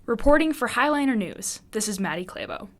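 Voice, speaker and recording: A teenage girl reads her news report from a prepared script. Her pitch moves a lot as she speaks, which gives the delivery a lively feel. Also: brisk, a little hiss or hum. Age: teens. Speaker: student reporter.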